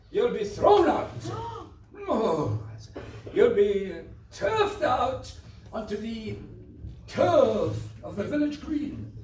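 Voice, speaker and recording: A man's voice: high-pitched, heavily acted. A man playing a pompous, scheming village squire in a pantomime, blustering and stammering. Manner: stammering, hemming and hawing bluster. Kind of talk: British pantomime stage acting. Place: live stage performance. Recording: distant roomy stage recording with background noise.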